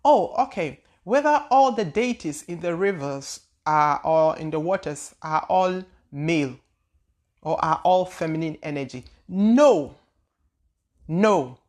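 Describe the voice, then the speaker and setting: A woman's voice: deep voice, expressive, takes it slowly, darkish tone. Woman talking in loose, searching speech. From Ghana. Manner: loose, searching delivery with frequent repeated words and hesitations. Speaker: spiritual teacher and channel host. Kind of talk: casual spoken talk answering viewer questions.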